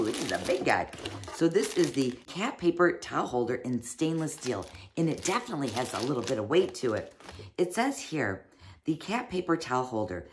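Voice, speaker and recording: Low-voiced chatty woman unboxing. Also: slight background noise. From United States. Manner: chatty, fond of "little" and "like". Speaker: unboxing reviewer. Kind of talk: casual product unboxing. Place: small cozy room indoors. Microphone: fixed camera, seated at desk.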